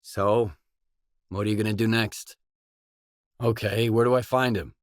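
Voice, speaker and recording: Man acting a guarded stalker mercenary, video game dialogue, lowish male voice, terse, dry delivery, very dry studio sound. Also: fairly even intonation, measured pace, no room sound, lightly acted. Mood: businesslike.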